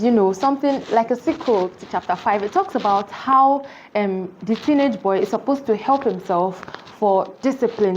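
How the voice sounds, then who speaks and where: A woman's voice: a little high, slight background noise. Earnest woman reviewing a book, lo-fi. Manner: earnest, explanatory, many "you know" fillers. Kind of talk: casual spoken book review.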